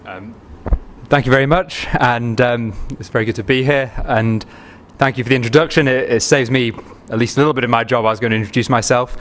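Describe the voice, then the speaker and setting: Mancunian man giving an investor pitch. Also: fairly even intonation, a little fast. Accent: Manchester English. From England. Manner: chatty, with frequent ums and restarts. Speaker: company CEO presenting to investors. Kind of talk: conference pitch presentation. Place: conference room.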